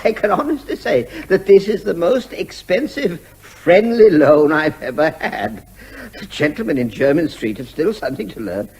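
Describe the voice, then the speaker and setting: An English actor playing a cheeky, unflappable out-of-work performer posing as a neighbour in a comic radio drama, jaunty and wryly genteel. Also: male voice, a little high, very animated, normal speed, a little mellow, slightly rough, exaggerated voice. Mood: breezy, wry.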